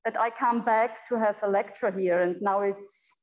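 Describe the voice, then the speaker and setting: A woman with a German accent, a native German speaker, giving an unscripted guest lecture to students, halting and searching for words with many fillers. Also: mid-range voice, steady tone, highs cut off. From Europe. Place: echoey lecture room. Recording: echoey hall.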